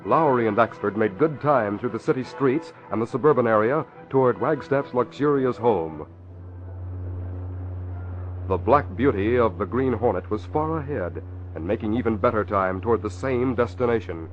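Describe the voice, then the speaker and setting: An actor as the formal narrator of a 1940s-style radio mystery serial, telling the story with urgency. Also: male voice, mid-range voice, normal speed, soft background music, lightly acted. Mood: dramatic narration. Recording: dull vintage radio recording, faint noise.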